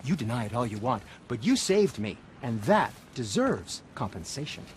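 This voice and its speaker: An actor playing a fussy, squeamish, easily flustered weaponsmith in a video game, with a prissy, theatrical, stammering delivery. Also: male voice, mid-range voice, sing-song, normal speed, slight background noise, natural voice. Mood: eager, grateful. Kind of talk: video game cutscene acting.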